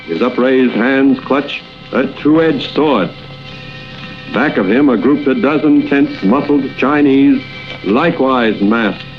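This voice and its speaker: A man narrating an old radio drama in a measured, dramatic storytelling manner. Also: medium pitch. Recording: dull old radio recording with music.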